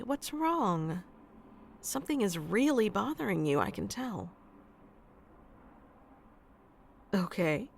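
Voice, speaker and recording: Slow, tender female AI coach played by a woman. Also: medium pitch, creaky, full character voice. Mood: concerned, tender. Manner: tender, reassuring, speaks softly to one listener. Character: sweet, nurturing female AI relationship coach, protective and gentle. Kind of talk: scripted ASMR roleplay.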